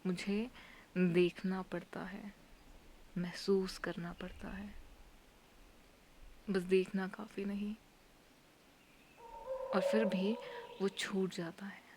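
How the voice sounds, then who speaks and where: A woman performs a poetic spoken-word monologue in an intimate, hushed, often breathy voice, leaving long pauses between phrases. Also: medium pitch, flat delivery, a little hiss or hum.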